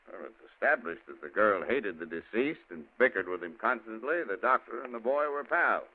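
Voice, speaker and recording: An actor playing a fumbling police lieutenant who hesitates and mixes up names. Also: male voice, fairly low pitch, animated, normal speed. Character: bumbling male police lieutenant. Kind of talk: 1940s radio acting. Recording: tinny old radio broadcast.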